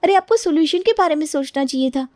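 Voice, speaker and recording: A woman voicing a feisty, argumentative young daughter-in-law in an animated family story, lecturing in an exasperated manner. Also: sits high, fast talker, no echo, clean studio sound. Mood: reproachful.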